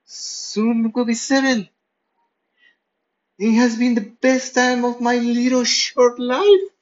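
A man voicing an excitable young male rescue puppy that talks in a high, strained, pleading way. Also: lo-fi sound.

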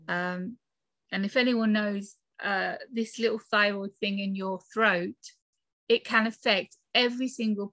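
A woman's voice: mid-range voice, bright, forceful tone, no echo. A British woman in her forties from the UK, chatting casually as an interview guest in her bedroom office, with lots of fillers and laughs. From United Kingdom. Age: 40s. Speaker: guest author and podcaster.

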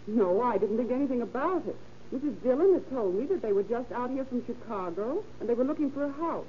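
A woman's voice: on the high side, expressive, fairly quick, lightly acted. An actress playing a chatty, helpful young landlady in a 1930s-style radio crime drama. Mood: helpful, matter-of-fact. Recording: muffled, noisy old radio recording.

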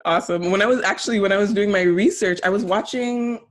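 A woman's voice: medium pitch, fast. A woman hosting an interview, upbeat and friendly, often saying awesome and okay. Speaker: podcast host.

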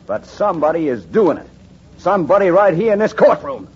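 A man's voice: sits high, sing-song, fairly quick, slightly rough, heavily acted. An actor playing a hostile, domineering male prosecutor in a 1940s radio drama, on a dull old broadcast recording. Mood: scheming. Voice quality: harsh, domineering.

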